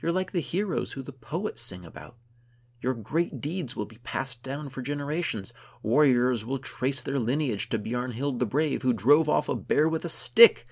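A man voicing an exuberant, teasing woman adventurer in an audiobook, exclaiming and declaiming in a gravelly voice. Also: mid-range voice, animated, a little slow, pitched above the performer's own voice. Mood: giddy admiration. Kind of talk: audiobook character dialogue.

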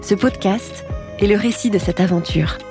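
A woman hosting a podcast, reading a scripted narration in a calm, measured way. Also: medium pitch, over music.

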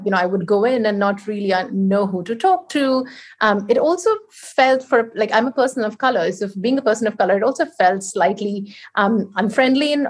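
Woman, mid pitch, hesitant panel guest with frequent restarts. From India. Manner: hesitant, many restarts and fillers. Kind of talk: panel discussion.

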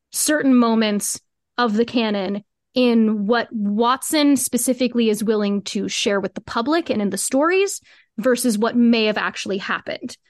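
A woman's voice: fairly high pitch, dry recording, clean studio sound. A woman giving earnest, reflective answers at length as a guest in a conversational podcast interview. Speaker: podcast guest, co-creator of a fiction podcast.

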